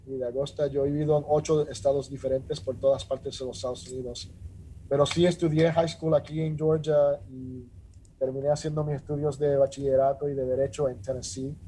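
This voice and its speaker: A middle-aged man from Rico, a judge talking earnestly in a measured way with many restarts and filler sounds. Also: mid-range voice, little pitch movement, mellow, a little hiss or hum, rough recording. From Puerto Rico. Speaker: judge, interview guest. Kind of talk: casual interview talk.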